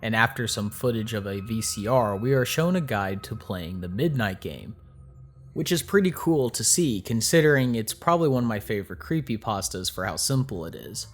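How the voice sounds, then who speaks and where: A man's voice: lowish voice, expressive, normal speed, soft background music. A man hosting an analysis video, reading scripted explanatory narration addressed to his viewers. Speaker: host of a video analysis channel.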